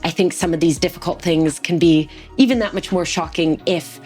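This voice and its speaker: A woman's voice: on the low side, moderate pace, darkish tone, faint music behind. A woman guest in an interview, talking in a reflective way and giving practical advice from her own experience as a teacher. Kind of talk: interview conversation.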